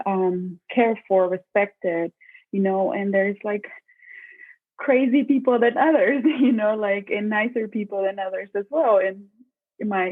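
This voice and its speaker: A woman, chatting casually as a podcast guest, relaxed and rambling with frequent fillers. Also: medium pitch. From Mexico. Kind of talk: casual interview chat. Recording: tinny phone-like remote call line.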